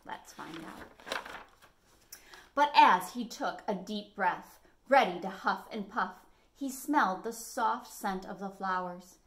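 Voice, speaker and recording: An American woman in her forties reading a picture book expressively as a storytime host, phrasing clearly for children. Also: medium pitch, expressive, takes it slowly, a bit bright. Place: small living room at home, indoors.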